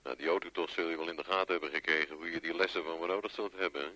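A man's voice: low-pitched, racing, bright, forceful tone, no room sound. A man acting in a radio play as a chummy, teasing sidekick heard over the telephone, talking in an informal, bantering way. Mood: teasing, obliging.

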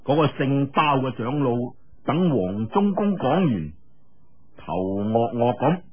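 Male Cantonese storyteller: theatrical, colloquial, unhurried, slightly muffled radio-like recording. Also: mid-range voice, big pitch swings. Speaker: audiobook storyteller. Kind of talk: dramatic oral storytelling of martial arts fiction.

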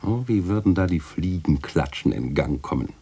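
A jovial, witty man of letters in a radio play. The voice is very low and husky, with big theatrical swings of melody. He speaks in florid, ironic phrases with a teasing edge. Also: expressive, moderate pace, warm tone, soft background music, heavily acted. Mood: musing, ironic. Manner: theatrical, chuckling irony with florid literary phrasing. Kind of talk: German radio play acting.

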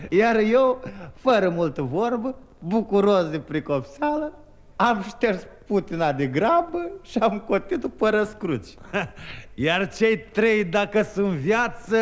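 A man playing a cheerful young peasant trickster in a 1950s radio drama, telling his tale in lively rhyme with chuckles. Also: high voice.

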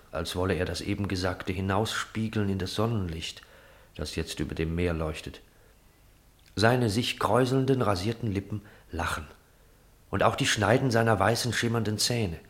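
Low-pitched male radio drama narrator. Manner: measured, descriptive storytelling delivery.

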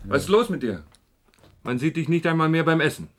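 An actor playing a concerned, gently impatient companion in a radio play, speaking in a familiar, questioning way. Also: male voice, fairly high pitch, big pitch swings, fairly quick, noisy background. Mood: concerned, probing.

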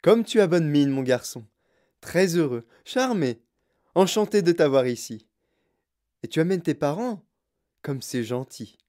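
A storyteller voicing a sprightly, mischievous old chocolatier with a clear, fluty voice, excitable and effusively polite, in an audiobook. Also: medium pitch, a little slow, long pauses. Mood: effusive delight. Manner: excitable, repeats himself in courteous bursts.

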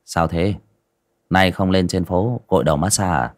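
A man voicing a brazen, scrounging village gentleman who teases and scolds in a blunt, casual way. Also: low voice, moderate pace, natural voice. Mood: teasing.

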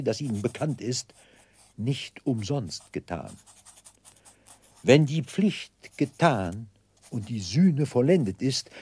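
An actor playing a pompous, theatrical middle-aged debtor clerk in despair, declaiming in florid oratory in a 1960s radio play. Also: male voice, mid-range voice, dark tone, slightly rough, dry recording, exaggerated voice. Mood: solemn, grandiose.

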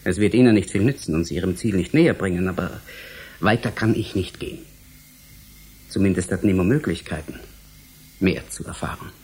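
A man in a German radio drama playing a cautious, sceptical young scientist, measured and questioning, with a husky voice. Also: deep voice, a little mellow, slight background noise, lightly acted. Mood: doubting, deliberate. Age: young adult.

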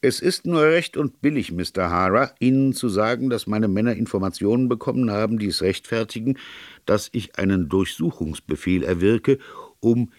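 A man voicing a stern, lanky British police colonel in a detective audiobook, speaking in a formal, authoritative, clear-cut way. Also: fairly low pitch, animated, normal speed, dry recording, clean studio sound, voice pushed up, lightly acted. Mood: stern, official. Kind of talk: audiobook character dialogue in a detective story.

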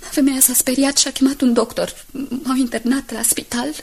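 An actress in a Romanian radio drama playing a frail, anguished young woman who confesses her past haltingly and intimately. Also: high-pitched, steady tone, darkish tone, heavily acted. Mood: sorrowful, hushed. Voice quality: husky, breathy. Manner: halting, pained, intimate storytelling.

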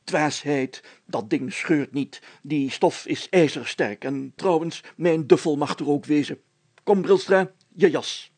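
A pompous village mayor from a storybook, acted out by a male reader. He is proud and bossy, with a raised, husky voice. His delivery is grand and commanding, as if every order were a decree. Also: a little high, takes it slowly, darkish tone, pitched above the performer's own voice. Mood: brisk, commanding.

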